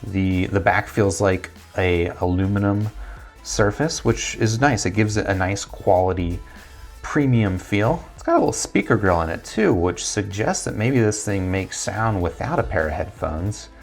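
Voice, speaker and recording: A man's voice: on the low side, expressive, moderate pace, faint music behind. A man in his thirties with an American accent, giving a casual unboxing review as a tech reviewer on a lapel mic.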